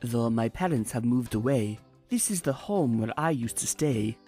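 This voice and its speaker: A woman playing a proud, formal zebra mare in a cartoon comic dub, with measured, stilted speech. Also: very deep voice, expressive, mellow, breathy edge, soft background music, full character voice. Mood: composed, formal.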